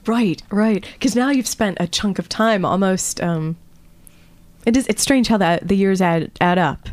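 A woman's voice: medium pitch, measured pace, a little mellow, no echo. A woman interviewing a guest in a friendly, chatty way, often breaking into laughs. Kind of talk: casual interview conversation.

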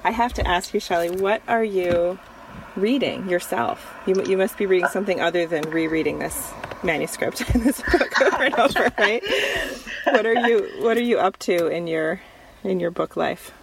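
Gravelly-voiced woman host asking questions. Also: medium pitch, moderate pace, background noise. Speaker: podcast host interviewer. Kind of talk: conversational interview.

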